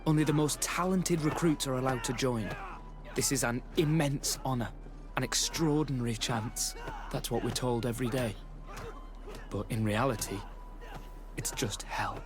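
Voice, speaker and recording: An actor playing a young male guard recruit who is bitter, frightened and guilt-ridden, confiding gravely in video game dialogue. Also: mid-range voice, moderate pace, long pauses, music in the background, natural voice.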